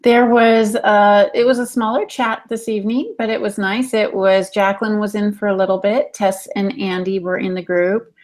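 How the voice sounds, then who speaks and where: A woman's voice: medium pitch, fairly even intonation, normal speed, few pauses. A middle-aged woman casually recapping and reading out chat comments for a podcast. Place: room at home, indoors. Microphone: earbuds on video call.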